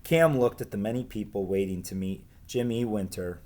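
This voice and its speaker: A man reading a children's book aloud as a teacher would, in an expressive storytelling style with emphatic dialogue. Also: lowish voice, moderate pace.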